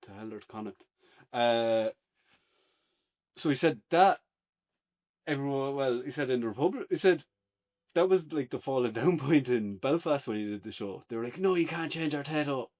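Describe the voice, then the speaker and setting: A man's voice: mid-range voice, animated, moderate pace, long pauses, a little mellow. An Irish man chatting casually and without a script as a podcast co-host giving a review. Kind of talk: casual unscripted banter.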